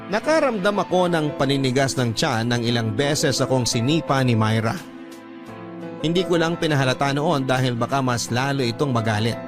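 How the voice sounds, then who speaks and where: A Filipino man with a mid-pitched voice narrates a scripted first-person story in radio drama style. Instrumental music plays clearly underneath him. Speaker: radio drama host reading a letter.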